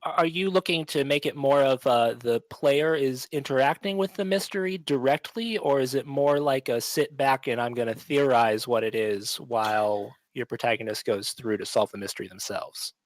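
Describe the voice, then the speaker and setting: A man's voice: mid-range voice, moderate pace, few pauses, no echo. A man co-hosting a podcast in a casual, conversational style. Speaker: podcast co-host.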